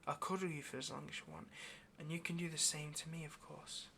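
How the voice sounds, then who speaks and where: A man's voice: fairly high pitch, fairly even intonation, fairly quick, vocal fry, sharp tone, slight background noise. A man playing a lonely, clingy yandere demon in an intimate audio roleplay, speaking tenderly and hesitantly straight to the listener with obsessive devotion. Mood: devoted, yearning.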